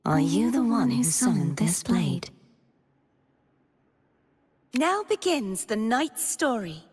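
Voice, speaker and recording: A young woman voicing a gentle, wistful and earnest maiden knight in a video game, speaking softly in measured, formal phrases. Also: a little high, long reverb tail, heavily acted. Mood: solemn, resolute. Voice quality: breathy. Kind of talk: scripted game character voice lines.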